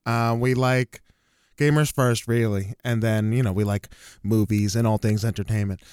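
An easygoing male podcast host speaks in a smooth, clear voice and often repeats little phrases. The recording is dry and close. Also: fairly low pitch, fairly even intonation, normal speed, a little mellow, dry recording. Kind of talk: casual chat.

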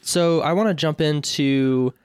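A man hosting a church interview podcast, asking friendly, halting questions full of fillers. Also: a little high, clean tone, no room sound, clean studio sound. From Greenville, South Carolina. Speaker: podcast host.

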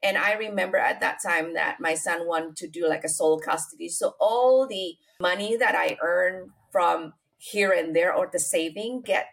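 A middle-aged woman from Indonesia with an Indonesian accent, telling her life story as an interview guest, unhurried and full of fillers. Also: on the low side, creaky, fairly bright tone, low-quality recording. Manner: unhurried, many fillers and restarts, non-native grammar.

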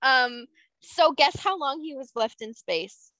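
A young woman co-hosting a casual chat, explaining things slowly with fillers and small laughs. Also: sits high, animated, sharp tone, dry recording, poor audio. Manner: unhurried, explains facts with frequent fillers and laughs. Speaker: podcast co-host.

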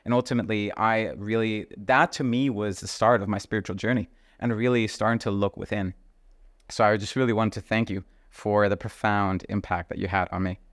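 Earnest male podcast host, low voice. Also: fairly even intonation, no room sound, clean studio sound. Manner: earnest, grateful, halting with fillers. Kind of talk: casual interview.

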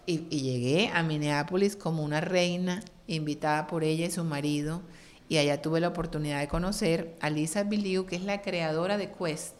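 A middle-aged woman from Colombia, a guest on an interview show, telling stories in an animated, expressive way. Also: low-pitched, normal speed. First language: Spanish. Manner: lively, expressive, acts out quoted voices. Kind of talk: animated storytelling in conversation.

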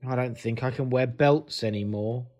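A man's voice: medium pitch, normal speed, a little mellow, close to an everyday voice. A man playing a hapless, oversharing political staffer, hesitant and glum, in a comedy drama. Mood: glum, defensive. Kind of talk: comedy audio drama dialogue.

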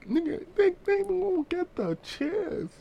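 A man's voice: extremely high pitch, big pitch swings, slow pace, vocal fry, mellow, lo-fi sound. A young man co-hosting a casual chat podcast, joking and teasing in banter. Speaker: podcast co-host.

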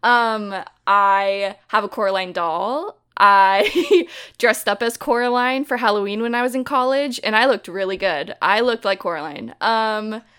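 A woman's voice: mid-range voice, unhurried, fairly bright tone, smooth. A woman co-hosting a film podcast in casual chat, gushing and giggly, full of likes and ums. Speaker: podcast co-host.

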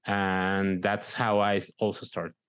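A man's voice: low voice, flat delivery, unhurried, a bit bright, smooth. A middle-aged man from Mexico with a Mexican Spanish accent, a guest talking through his career, often repeating words as he searches for them. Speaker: guest, director and producer. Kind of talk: casual interview talk.

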